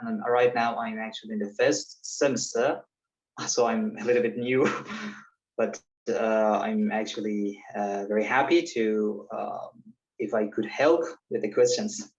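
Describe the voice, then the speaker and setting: A man's voice: on the low side, unhurried, darkish tone. A man, a master's student, introducing himself in a friendly, hesitant way on a video call. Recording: dull call audio.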